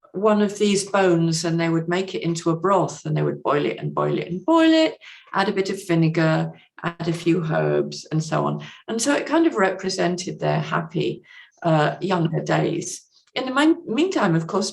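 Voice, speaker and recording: A woman speaks articulately about literature as a podcast guest. Her pitch moves in a lively way, with a noticeable creak in the voice, and her manner is explanatory. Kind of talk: literary discussion and reading aloud.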